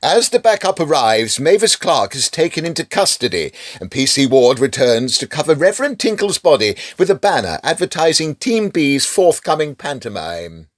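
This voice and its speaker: A man's voice: fairly high pitch, sing-song, moderate pace, hardly any pauses, no echo. A man narrating a comic radio drama as the storyteller of a village murder mystery. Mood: dramatic narration. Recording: loud music under the voice.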